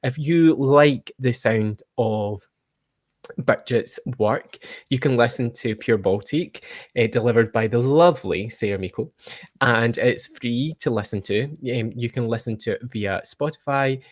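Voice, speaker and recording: A Scottish man hosting a casual live chat in an excitable, chatty manner. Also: mid-range voice, measured pace, no room sound, studio quality. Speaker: podcast host and audio drama producer.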